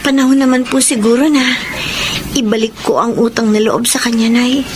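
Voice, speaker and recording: A woman acting as a dutiful young woman in a radio drama. Her voice is high, earnest and respectful, full of selfless feeling, over clear background noise. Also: fairly even intonation, moderate pace, natural voice. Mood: earnest, gently firm. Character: dutiful, selfless young working granddaughter and daughter.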